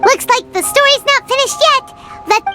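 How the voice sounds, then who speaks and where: A woman's voice: very high-pitched, normal speed, over music. A woman voicing a tiny, chirpy, childlike fairy companion in a video game, wide-eyed and exclamatory. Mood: curious wonder.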